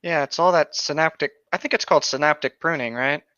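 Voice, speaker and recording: A man with a bright, sharp voice co-hosts in a casual, chatty style. The sound is dry and close, a little dull and lo-fi. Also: on the high side, fast, smooth, dry recording. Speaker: co-host. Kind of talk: casual commentary chat, reading a story aloud.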